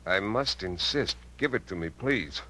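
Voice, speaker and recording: An actor playing a guarded, coldly commanding uncle in a 1950s radio drama. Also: male voice, deep voice, animated, measured pace, sharp tone, a little hiss or hum, heavily acted. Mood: cold, irritated.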